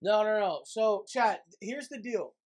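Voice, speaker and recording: A man's voice: high-pitched, lively intonation, a little slow. A man in his twenties hosting a casual livestream from his room, talking bluntly and swearing with an irritated edge. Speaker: livestream host. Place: small dim gaming room at home.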